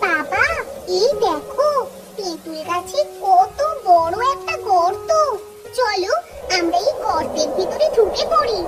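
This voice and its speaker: An eager little village girl from a cartoon, played by a woman, talks in an extremely high, childlike voice. She sounds chirpy, with loud music playing behind her. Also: expressive, slow, heavily acted. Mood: excited. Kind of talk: cartoon character dubbing.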